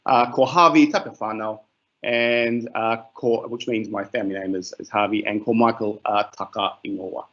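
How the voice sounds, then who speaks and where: A middle-aged New Zealand man presents a teaching webinar over a video call. He talks in a relaxed, unhurried way, full of fillers. The call audio is somewhat muffled. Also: on the low side.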